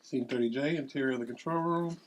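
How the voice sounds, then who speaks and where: A man serves as narrator for a table read. He reads screenplay headings and action lines in a medium-pitched voice. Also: fast, slight background noise, poor audio. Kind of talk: reading screenplay directions aloud.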